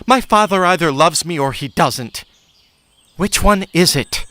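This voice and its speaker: Guy acting hurt frustrated son in a radio play, slightly high voice. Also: a little slow, bright, forceful tone. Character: wounded, frustrated son yearning for love. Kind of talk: stage audio drama.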